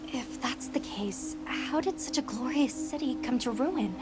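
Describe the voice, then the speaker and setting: A woman's voice: high-pitched, a little slow. A woman playing a friendly, inquisitive young adventurer in a video game, polite and eager. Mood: curious. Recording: music behind the voice. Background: music.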